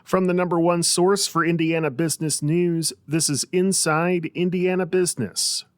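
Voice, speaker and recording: A man's voice: on the high side, fairly even intonation, normal speed, no room sound. An American man reading a scripted radio news bulletin as the anchor, close on a studio mic.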